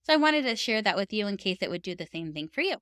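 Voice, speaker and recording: A woman with a smooth, clean voice at a middle pitch hosts an advice podcast. She talks in an earnest, encouraging, conversational way. The sound is dry and close, with very little room. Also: very animated, fast, fairly bright tone, dry recording. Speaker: host of a writing podcast. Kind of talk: encouraging advice talk to camera for writers.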